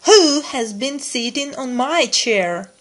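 A woman's voice: sits high, expressive, slow pace, pitched above the performer's own voice, full character voice. A woman voicing an angry mother bear in a children's storybook, roaring indignantly. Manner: roaring out questions.

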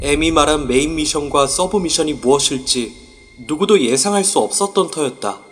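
A man's voice: on the high side, moderate pace, a bit bright, big-room sound, lightly acted. An actor narrating in the first person as a tense young male player in an audio drama. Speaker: narrator and lead character.

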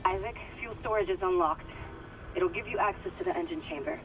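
An actress playing a sharp, suspicious computer specialist in a space crew, talking over comms in a naturalistic game performance. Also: female voice, on the low side, brisk, dull audio, close to an everyday voice. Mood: probing, skeptical. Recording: radio call under loud ship noise.

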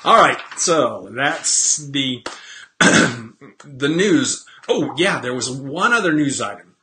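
A man in his fifties hosting a casual, rambling webcam chat from his home office, often clearing his throat. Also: mid-range voice, big pitch swings, slow pace, pushed and bright, a little hiss or hum. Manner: chatty, rambling, self-deprecating, frequent throat clearing. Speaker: host. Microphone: webcam at desk.

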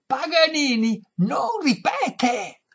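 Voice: mid-range voice, animated, a little mellow, husky, highs cut off. Someone voicing a pompous, emphatic male virtuoso violinist. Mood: shrieking exasperation. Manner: pompous, emphatic, drawn-out delivery. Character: famous male violinist, courtly, increasingly exasperated.

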